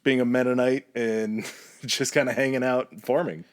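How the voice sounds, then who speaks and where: A man's voice: medium pitch, steady tone, normal speed. A man co-hosting a casual history podcast, telling the story in a chatty, rambling way and laughing easily.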